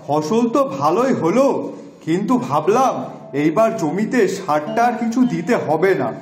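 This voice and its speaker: Casting: actor as mild village farmer dad for cartoon, middle-aged, high for a man, echoey track. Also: expressive, moderate pace, soft background music. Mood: pensive. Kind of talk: Bengali moral-story cartoon dubbing.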